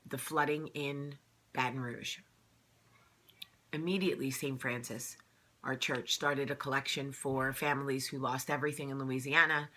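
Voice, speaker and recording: A woman's voice: low-pitched, sharp tone. A woman hosting her own vlog, talking straight to the camera in a casual, earnest way, stressing her points and often saying "um". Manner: earnest, emphatic, repeats phrases, many "um"s. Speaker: vlog host. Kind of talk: casual direct-to-camera talk.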